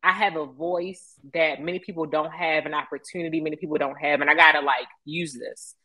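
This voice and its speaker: Low-pitched American woman telling her story. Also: steady tone, brisk, bright, forceful tone, dry recording. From Baltimore, United States. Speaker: interview guest, media professional. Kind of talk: conversational personal storytelling.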